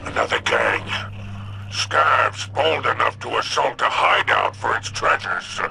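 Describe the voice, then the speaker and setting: An actor playing a greedy, cynical alien crime boss with a gravelly, sneering drawl in a video game cutscene. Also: male voice, medium pitch, wildly swooping pitch, takes it slowly, background noise, heavily acted. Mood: scornful, wary.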